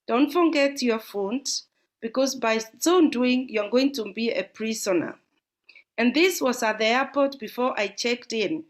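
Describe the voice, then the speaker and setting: A middle-aged woman from Kenya with a Kenyan accent, earnestly encouraging parents during a discussion. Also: fairly high pitch. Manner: earnest and encouraging, talks in long flowing runs. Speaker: audience member asking a question. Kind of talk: heartfelt spoken comment in an online discussion.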